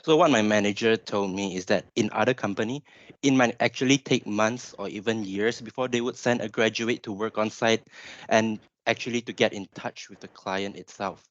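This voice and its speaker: A man's voice: lowish voice, moderate pace, dry recording, muffled recording. A man in his twenties with a Malaysian accent, giving a webinar presentation in an informal explanatory way. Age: 20s. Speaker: graduate engineer presenter.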